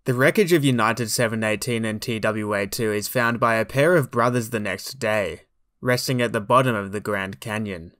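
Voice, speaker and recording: Dry, measured male documentary narrator. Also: fairly low pitch, a bit bright, no echo. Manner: measured, formal, explanatory. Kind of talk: scripted narration.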